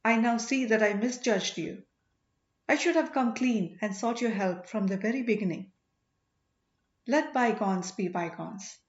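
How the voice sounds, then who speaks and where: An earnest banished young king as a woman reader plays him speaks courteously and with devotion. The voice is fairly high, in storybook dialogue with noticeable room echo and a slightly dull sound. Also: unhurried, natural voice. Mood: apologetic. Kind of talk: audiobook character dialogue. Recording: roomy, slightly dull home recording.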